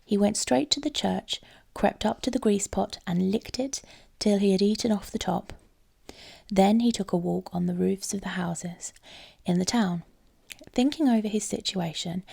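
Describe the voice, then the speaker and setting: A woman storyteller reading a fairy tale aloud in an expressive style. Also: mid-range voice, creaky, breathy edge.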